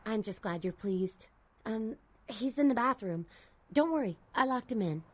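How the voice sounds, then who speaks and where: Devoted young servant girl for a vampire game: high, timid, faltering delivery, anxious to please. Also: lively intonation. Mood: nervous, eager. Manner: hesitant, halting, eager to please. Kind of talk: video game voice acting.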